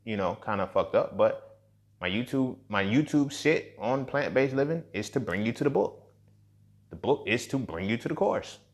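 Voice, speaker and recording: Man, 30, solo podcast host. Mid-pitched, very animated delivery; blunt, sweary, slangy street talk. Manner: blunt, heavily profane, slangy; emphatic, with rhetorical questions and check-ins. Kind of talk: casual, unscripted solo podcast monologue.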